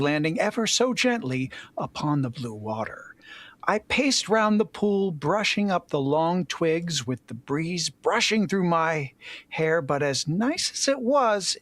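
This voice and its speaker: Animated higher-pitched man, dry close sound. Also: dry recording. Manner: jokey, interjects asides while reading. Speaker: fan fiction reader and show regular. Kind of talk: casual banter and story narration.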